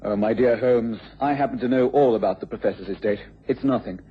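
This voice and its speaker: A man's voice: mid-range voice, brisk, natural voice. Casting: man playing scheming husband and lab assistant, formal and polite, radio drama, dull noisy recording. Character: smooth-talking, scheming scientist's assistant and husband. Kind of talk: radio mystery drama acting. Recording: muffled radio recording with background noise.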